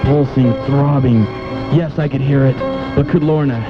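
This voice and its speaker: A man's voice: mid-range voice, steady tone, normal speed, lightly acted. An actor playing an obsessive, impassioned male pianist in old-time radio style, with a melodramatic, insistent delivery. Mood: brooding narration. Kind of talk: old-time radio drama acting with first-person narration. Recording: dull 1990s AM radio aircheck with music.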